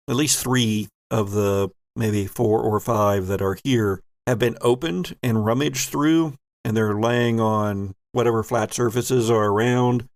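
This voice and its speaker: A man's voice: mid-range voice, gravelly, dry recording, clean studio sound. A man improvising narration as game master of a tabletop horror game.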